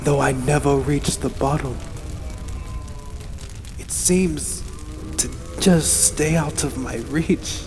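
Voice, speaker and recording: A man giving a solemn, deliberate, suspenseful dramatic reading of a first-person horror story. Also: a little high. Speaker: narrator. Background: background music.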